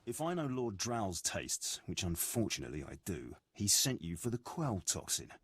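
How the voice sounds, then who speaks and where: An actor playing an ambitious, resentful military sergeant in a video game, formal, clipped and self-important. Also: male voice, lowish voice, big pitch swings, normal speed, a bit bright, slightly rough, exaggerated voice. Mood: dry, disdainful. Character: ambitious, resentful, impatient male military sergeant of an evil empire.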